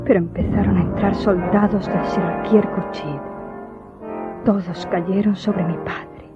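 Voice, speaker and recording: A grieving daughter voiced by a woman narrates in a radio drama, solemn and measured. The track is slightly muffled, with clear music behind her. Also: medium pitch, steady tone, close to an everyday voice. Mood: solemn, tense.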